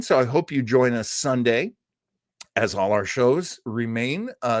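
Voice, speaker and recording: Man, live-stream host, casual chat, informal rambling asides, expressive pitch swings, dry sound. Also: no echo. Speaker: host of a live-streamed radio nostalgia show. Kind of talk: casual chat, reading out and answering live viewer comments.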